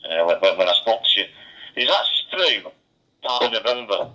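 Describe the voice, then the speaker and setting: A man's voice: on the low side, lively intonation, fairly quick, bright, forceful tone. A middle-aged man, chatting casually and ramblingly as a radio ham over a two-way radio link. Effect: two-way radio transmission. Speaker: amateur radio operator. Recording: muffled digital two-way radio audio.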